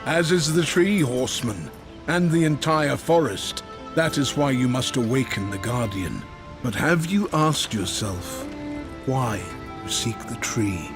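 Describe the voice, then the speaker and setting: A man acting a wise old maker in a video game, solemn and measured, speaking like an oracle. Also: medium pitch, expressive, music in the background. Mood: cautioning. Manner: measured, oracular.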